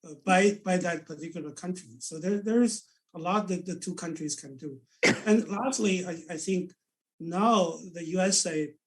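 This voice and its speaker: A man's voice: high voice, moderate pace, distant mic. A man from China with a Chinese accent, speaking English as a second language, talking earnestly as a panel guest and often repeating words while he thinks. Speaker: senior advisor, panel guest. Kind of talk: conversational panel discussion. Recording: voice set back from the mic.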